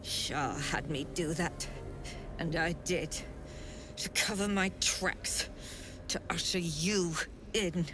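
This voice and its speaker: A woman playing a haughty, menacing middle-aged mother superior of a dark cult in a fantasy video game, speaking imperiously with a cold, taunting edge. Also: fairly low pitch, music in the background. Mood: proud, bitter. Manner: imperious, deliberate, coldly taunting. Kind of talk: theatrical video game dialogue.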